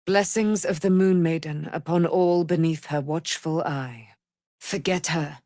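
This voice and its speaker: A woman's voice: deep voice, unhurried, dark tone, no echo, clean studio sound. An actress playing a proud, immortal holy warrior woman in a fantasy game, speaking in grand, solemn, formal phrases. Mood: measured, commanding. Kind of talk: theatrical fantasy game voice acting.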